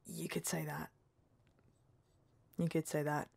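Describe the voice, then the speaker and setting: Downtrodden British call-centre woman, halting, blunt, slightly low voice, close dry sound, audio drama. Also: flat delivery, gravelly, darkish tone, slightly rough, no room sound, full character voice. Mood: wistful, embarrassed.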